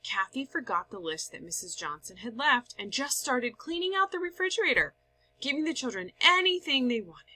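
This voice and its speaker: Female storyteller, bright tone, very lively pitch, expressive read-aloud delivery with dramatic emphasis. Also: moderate pace, rough recording. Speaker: story reader. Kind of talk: animated scripted read-aloud narration of a story.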